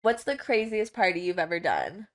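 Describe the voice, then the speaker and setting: A woman's voice: on the low side, big pitch swings, normal speed. A young woman hosting a podcast interview, asking questions in a friendly, affirming, agreeable way. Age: young adult. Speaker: guest podcast host. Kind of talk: casual interview chat. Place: small indoor studio, on a couch. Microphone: lavalier mic.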